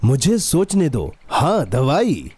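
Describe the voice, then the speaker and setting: A man voicing a caring, sensible friend in a children's cartoon dub, reassuring and expressive. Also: a little high, takes it slowly, slightly rough, dry recording, exaggerated voice. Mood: patient, firm. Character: caring, sensible male friend and minder, patient.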